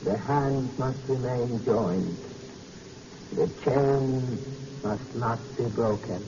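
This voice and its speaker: Slow, ominous mystic seer. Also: male voice, mid-range voice. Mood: solemn commanding. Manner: slow, formal, portentous. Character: solemn, menacing turbaned male mystic and seance leader. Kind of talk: 1940s radio acting. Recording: muffled, hissy old radio recording.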